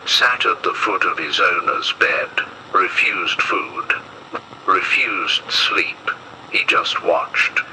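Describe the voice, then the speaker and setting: A man reading a scripted emergency bulletin as horror narration, in a solemn, measured and ominous manner. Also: sits low, sharp tone, a little hiss or hum, poor audio.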